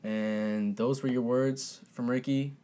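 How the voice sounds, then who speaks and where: A man's voice: medium pitch, steady tone, slow pace, warm tone. A man hosting a casual podcast, upbeat, introducing songs and steering the talk. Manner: upbeat, hypes songs, drives the show. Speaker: podcast host. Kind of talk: casual chat.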